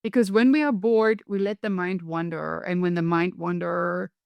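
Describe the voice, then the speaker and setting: A woman's voice: lowish voice, steady tone, moderate pace, gravelly, no echo, highs cut off. Middle-aged woman, European accent, motivational coach, emphatic, dry close sound. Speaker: podcast guest, coach. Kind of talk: conversational interview.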